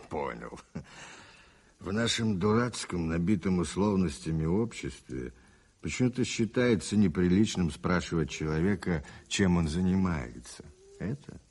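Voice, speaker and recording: Worldly, husky rogue, swooping theatrically. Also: male voice, low voice, animated, measured pace, a little mellow, full character voice. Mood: amused, self-mocking. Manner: theatrical, ironic, teasing. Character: worldly, self-mocking male adventurer posing as an actor. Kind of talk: 1980s radio play acting.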